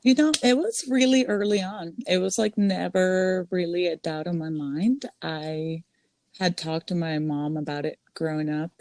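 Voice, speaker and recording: A woman's voice: on the low side, fairly even intonation, measured pace, darkish tone. Chatty young woman from Costa Rica. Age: 20s. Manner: chatty, many ums and restarts. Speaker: guest, salon owner. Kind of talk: casual interview.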